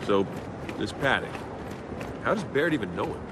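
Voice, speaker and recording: An actor voicing a skeptical, sardonic soldier who challenges and needles others in a video game. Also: male voice, medium pitch, big pitch swings, moderate pace, heavy background noise, lightly acted.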